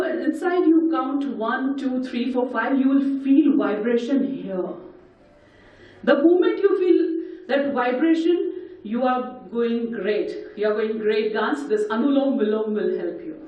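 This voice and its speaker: A husky, high-pitched woman with an Indian English accent guides a group through breathing drills, counting each step aloud like a patient instructor. Her voice comes from some distance in a big, very echoey hall. The sound is dull and muffled. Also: a little slow. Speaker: voice and breathing workshop leader. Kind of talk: leading a group workshop with breathing and sound exercises.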